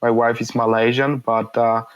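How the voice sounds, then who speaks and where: A man in his thirties with a Polish accent answers interview questions in a halting way, full of fillers and restarts. His voice sits at a middling pitch for a man. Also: little pitch movement, smooth, muffled recording. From Poland. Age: 30s. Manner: hesitant, many fillers and repeated words. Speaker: interview guest. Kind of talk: casual interview conversation.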